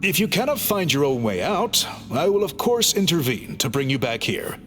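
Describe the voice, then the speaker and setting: Calm formal chief guy, explains things politely, bright lively voice, faint music. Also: fairly high pitch, moderate pace. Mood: reassuring. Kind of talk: game cutscene voice acting.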